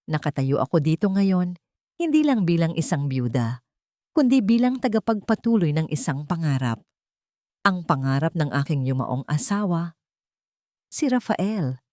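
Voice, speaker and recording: A woman's voice: low voice, sing-song, moderate pace, long pauses, a little mellow, no echo, clean studio sound. A woman with a Filipino accent voicing a poised, scheming widow who gives a formal, solemn speech in an audio drama. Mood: solemn, composed. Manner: solemn, confident, formal public address.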